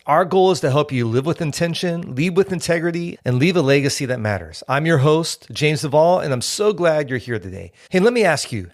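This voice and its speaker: A man's voice: on the high side, dry recording, clean studio sound. A man hosting a leadership podcast, reading a polished scripted intro and then asking a friend questions in a friendly way. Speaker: podcast host. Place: office.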